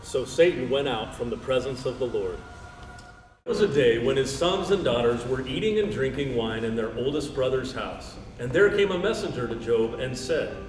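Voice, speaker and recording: A man's voice: mid-range voice, expressive, normal speed. A man reading scripted narration for a dramatized Bible story. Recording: echoey hall, distant, clear music behind.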